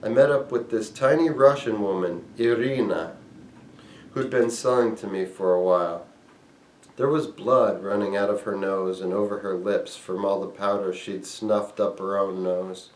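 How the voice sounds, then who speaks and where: A man with a fairly low voice reads aloud from a memoir. He sets out each phrase in a measured, deliberate way. The recording has a clearly echoey, roomy sound. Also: distant mic, slight background noise. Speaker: book reader and reviewer. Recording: echoey room.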